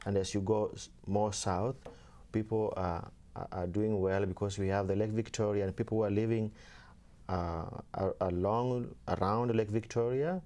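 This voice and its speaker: Soft-spoken Kenyan-born seminarian. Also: male voice, fairly low pitch, steady tone, moderate pace. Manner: soft-spoken, many fillers, laughs easily. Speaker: seminarian guest. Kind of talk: casual interview talk.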